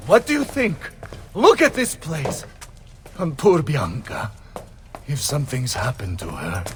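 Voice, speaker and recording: An actor playing a bold, blustering Renaissance mercenary captain with an Italian accent in a video game. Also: male voice, mid-range voice, wildly swooping pitch, unhurried, background noise. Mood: anxious, upset. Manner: blustering, theatrical. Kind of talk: video game cutscene acting.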